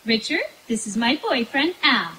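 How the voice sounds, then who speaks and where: A woman's voice: fairly high pitch, animated, moderate pace, bright, forceful tone, a little hiss or hum. A woman playing a guileless, scatterbrained young woman in an old-time radio comedy on an outdoor stage. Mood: excited. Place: outdoor woodland stage, live performance.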